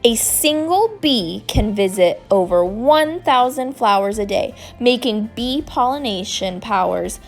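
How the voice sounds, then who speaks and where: Expressive, slow-paced woman reading a storybook. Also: a little high, soft background music. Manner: expressive, acts out dialogue. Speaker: storybook reader. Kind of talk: scripted children's read-aloud narration.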